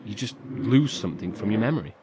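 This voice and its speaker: An actor playing a weary, frightened survivor trapped in darkness, delivering a halting, confiding monologue in a horror audio drama. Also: male voice, big pitch swings. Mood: reluctant, grave. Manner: halting, confiding, with sighs and swearing. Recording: clearly noisy, rumbling ambience.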